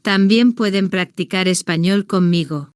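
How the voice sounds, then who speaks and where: A woman reading a simple first-person story for language beginners, in slow, deliberate, evenly paced short sentences. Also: medium pitch, smooth, dry recording, studio quality. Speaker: language-learning narrator.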